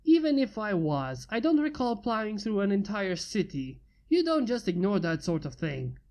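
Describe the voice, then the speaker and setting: A woman's voice: mid-range voice, big pitch swings, normal speed, dark tone, lightly acted. A woman voicing a smug, arrogant human inventor who talks with sarcasm and boasts of his own genius. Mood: sarcastic.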